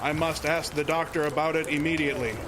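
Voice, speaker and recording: A man's voice: on the high side, fairly even intonation, fast talker, background noise, natural voice. An actor playing a formal, stiff-spoken man. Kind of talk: audio drama acting.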